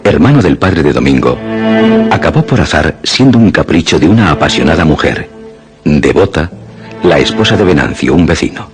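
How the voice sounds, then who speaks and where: A man's voice: extremely low pitch, very animated, moderate pace. A man narrating a scripted radio drama in a dramatic storytelling style. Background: music.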